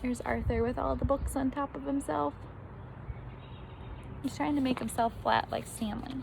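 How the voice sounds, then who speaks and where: A woman reading a children's story aloud like a teacher, expressively, with animated emphasis on key words. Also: high-pitched, normal speed, gravelly, slight background noise.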